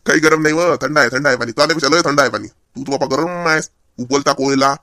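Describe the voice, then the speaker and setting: A man voicing a henpecked, sarcastic husband in a comedy cartoon, with a Vidarbha Marathi accent and drawn-out, mock-lamenting emphasis. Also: mid-range voice, a crowd in the background, close to an everyday voice. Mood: teasing, grumbling. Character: henpecked, grumbling husband and son-in-law. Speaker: comic cartoon character.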